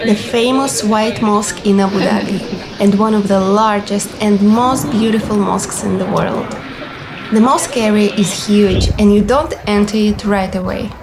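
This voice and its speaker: A young woman reading scripted travel vlog narration in a clear, orderly, explanatory manner. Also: medium pitch, noisy background. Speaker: vlogger and cruise ship receptionist. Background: background music.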